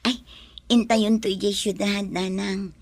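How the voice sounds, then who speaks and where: Breathy, creaky young bride-to-be. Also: female voice, medium pitch, little pitch movement, a little slow, darkish tone, no room sound. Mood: respectful, warm. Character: kind, devoted young bride-to-be, respectful and sincere. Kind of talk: radio drama acting.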